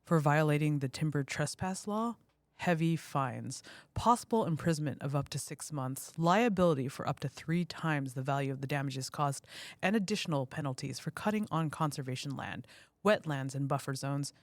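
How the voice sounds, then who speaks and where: A woman's voice: deep voice, no echo. An authoritative woman detective in an audio drama. She sounds cool and official, laying things out firmly and evenly in a very dry recording. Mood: stern, cautioning. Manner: measured, official, firm.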